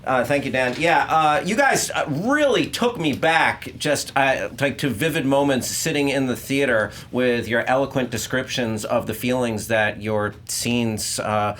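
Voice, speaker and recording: A casual man with a medium-pitched voice hosts a panel debate. He talks in a halting, unscripted way, dropping informal slang, with faint noise in the background. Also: a bit bright. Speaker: host and judge of a debate show. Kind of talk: unscripted panel show hosting.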